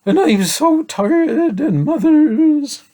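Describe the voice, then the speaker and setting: A man voicing a tired, tearful little boy who pleads and sobs in a piteous, drawn-out way. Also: very high voice, wildly swooping pitch, creaky, voice pushed up, full character voice. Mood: sobbing.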